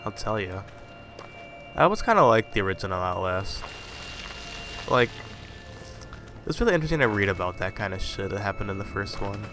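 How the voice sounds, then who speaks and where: A man's voice: on the low side, big pitch swings, a little fast, pauses often, music in the background. A man playing a horror game, swearing and muttering casual asides, still slightly congested from a cold. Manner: profane, jumpy, muttering asides. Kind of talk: casual commentary.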